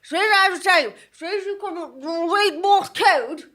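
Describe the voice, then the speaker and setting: A woman's voice: extremely high pitch, a little slow, fairly bright tone. A woman acting an odd, eager eccentric helper in a comic audio drama, rambling in a muddled way.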